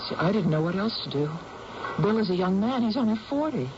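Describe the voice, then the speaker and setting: An actress playing a caring, decisive woman in a radio drama, speaking in an earnest, measured way. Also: medium pitch, animated, close to an everyday voice. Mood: concerned. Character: caring, decisive woman telling her own tale. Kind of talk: radio drama acting and narration. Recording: dull, noisy vintage radio recording.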